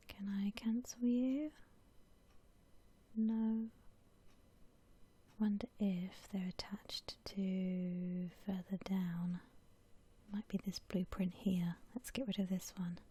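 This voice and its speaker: A woman hosts an ASMR gaming session in a very breathy whisper. Her tone is soft and mellow, and she takes her time, gently thinking out loud as she plays. The delivery stays hushed and unhurried. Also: medium pitch. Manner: gentle, unhurried, musing aloud. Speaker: host of a gaming ASMR channel. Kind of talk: whispered ASMR game commentary.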